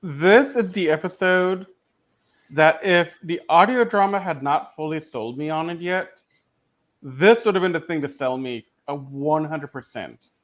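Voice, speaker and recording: A man with a slightly high voice gives casual, opinionated podcast commentary. He is emphatic and slangy, and his track sounds a bit dull and lo-fi. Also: measured pace, fairly bright tone. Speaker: podcast co-host.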